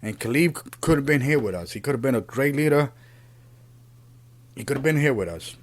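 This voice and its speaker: Earnest New man, halting podcast monologue. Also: medium pitch, lively intonation. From Puerto Rico, raised in the Bronx, New York City. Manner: earnest, halting, repeats words and phrases. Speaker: podcast host. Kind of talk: unscripted opinion monologue on a podcast.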